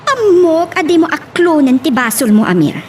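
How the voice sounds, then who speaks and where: An actress plays an emotional, strong-willed woman in an Ilocano love drama. Her voice is high and swings widely in pitch, with faint noise behind it. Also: very animated, normal speed, darkish tone. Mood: angry, accusing. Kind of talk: Ilocano audio drama acting.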